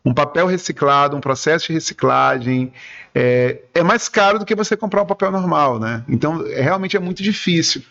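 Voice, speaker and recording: A man with a slightly high voice explains his views earnestly and at length as a guest on a radio show. He often restarts his sentences while talking things through. The recording sounds a bit dull and lo-fi. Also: expressive, a little fast, vocal fry, a bit bright. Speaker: guest, advertising and entertainment businessman. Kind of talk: radio interview conversation.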